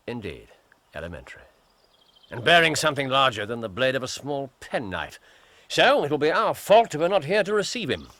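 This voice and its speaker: An actor playing a shrewd, unsentimental gentleman detective in a radio drama, British, brisk and wry. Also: medium pitch, very animated, no room sound. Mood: keen, absorbed. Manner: brisk, deductive, wry, muttering to himself.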